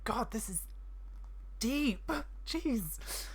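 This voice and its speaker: A man's voice: very high-pitched, big pitch swings, drawn-out words, breathy edge. A man giving casual, informal commentary as he plays a video game. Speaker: gaming streamer.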